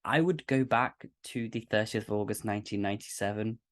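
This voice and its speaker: A woman's voice: extremely low pitch, brisk, background noise. A woman chatting casually in a gushing, slangy way as an amateur cast member. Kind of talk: casual chat.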